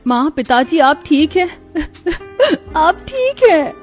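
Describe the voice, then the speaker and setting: A woman's voice: sits high, sing-song, takes it slowly, soft background music, exaggerated voice. A woman voicing a caring woman of a poor village family in a melodramatic story, earnest and emotional. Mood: tearful.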